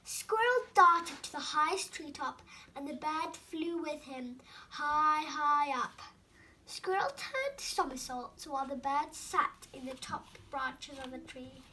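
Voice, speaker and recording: A young girl hosting storytime, reading a children's book aloud with careful, animated expression. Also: high voice, slow, a little hiss or hum, low-quality recording.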